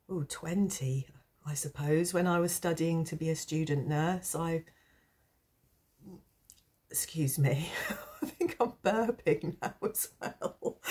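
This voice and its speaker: A woman hosting a casual tarot vlog, hoarse with a cold and sore throat, talking in a hesitant, reflective, self-deprecating ramble. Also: low-pitched, a little mellow. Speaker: tarot channel host. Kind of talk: casual rambling chat to camera.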